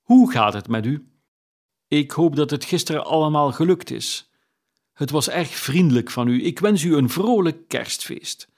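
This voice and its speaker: A man voicing a reformed old miser, loud, elated and emphatic. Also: mid-range voice, measured pace, natural voice.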